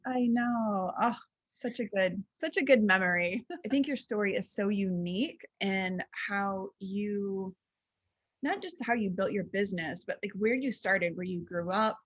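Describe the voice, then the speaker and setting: A woman's voice: medium pitch, a little slow, muffled recording. A woman hosting an interview, chatting in a friendly, affirming way with her guest. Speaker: interview host. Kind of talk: interview conversation.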